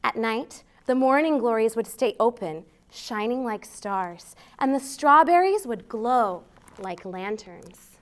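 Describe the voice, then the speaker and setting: An American woman with a high voice reads for small children. She takes her time, shaping phrases expressively and deliberately, as a library storytime host. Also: animated. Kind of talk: children's storytime read-aloud.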